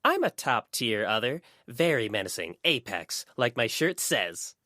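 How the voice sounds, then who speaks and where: A man's voice: medium pitch, big pitch swings, unhurried, pushed and bright, no echo, clean studio sound, pitched above the performer's own voice. A man voicing a small, drowsy creature girl who mumbles mock-grand boasts in an audiobook. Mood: sleepy boasting.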